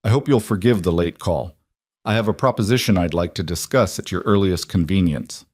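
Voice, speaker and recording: A man voicing an older businessman who speaks in a measured, formal, polite way, in an audio story. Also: low-pitched, a little mellow, husky, dry recording, clean studio sound. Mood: courteous, measured. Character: older, measured, courteous businessman making a proposition.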